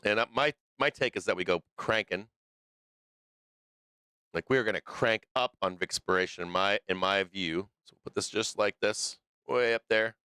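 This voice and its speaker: A man's voice: lowish voice, moderate pace, bright, forceful tone, clean tone, no room sound. A man hosting a live stock market stream, losing his voice, thinking aloud through the charts in a loose way with fillers and restarts. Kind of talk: live trading commentary over charts.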